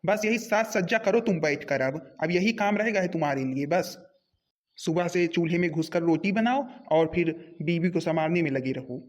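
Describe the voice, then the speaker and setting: Casting: man acting as a scolding, domineering elder brother for a family cartoon, fairly high voice. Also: normal speed, close to an everyday voice. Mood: angry, scolding. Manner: scolding, repetitive, bossy.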